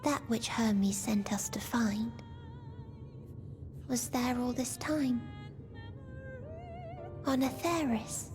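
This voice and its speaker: A woman voicing a gentle, sorrowful otherworldly girl in a video game cutscene, speaking in a soft, breathy, solemn and poetic way over music. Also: fairly high pitch, very slow, long pauses. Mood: wistful.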